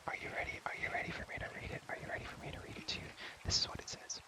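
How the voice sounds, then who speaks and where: Whispering young man doing repetitive ASMR. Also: very fast. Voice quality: breathy, mostly unvoiced whisper. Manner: repeats words and phrases over and over. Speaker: ASMR creator and host. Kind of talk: whispered ASMR, playful rambling. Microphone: close ASMR microphone.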